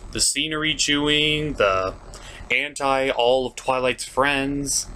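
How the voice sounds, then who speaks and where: A man's voice: medium pitch, takes it slowly, slight background noise. A man reviewing a cartoon as a show host, theatrical and exasperated in his opinionated commentary. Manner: theatrical, exasperated, swings into shouted outbursts.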